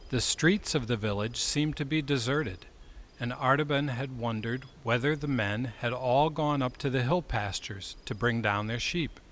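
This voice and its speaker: A man serves as narrator for a scripted story. His voice sits at a middle pitch. Faint noise lies behind the reading. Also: moderate pace, a bit bright.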